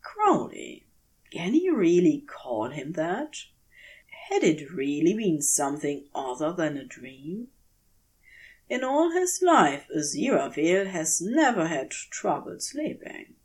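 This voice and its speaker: A woman narrating a scripted story as an audiobook reader, expressive and deliberate, with dramatic pauses. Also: lowish voice. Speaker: audiobook reader of fan fiction.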